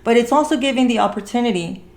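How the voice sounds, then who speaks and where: A woman's voice: on the high side, fast talker, a little mellow. A woman hosting a casual movie review talk, speaking earnestly and repeating phrases for emphasis. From Mexican heritage. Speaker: talk show host.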